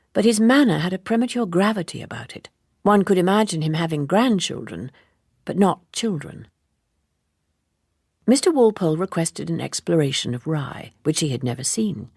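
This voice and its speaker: Female audiobook reader, literary and measured, animated pitch, very dry close sound. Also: creaky, breathy edge, dry recording. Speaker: audiobook narrator. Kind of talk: expressive literary scripted narration.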